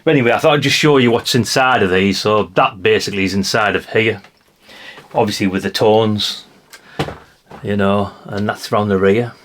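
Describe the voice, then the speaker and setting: A man's voice: lowish voice, moderate pace, a bit bright. Rambling British man with noisy background. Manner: rambling, musing aloud, chatty asides to viewers. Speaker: vlogger showing a haul of vintage CB radios. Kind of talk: casual hands-on show-and-tell.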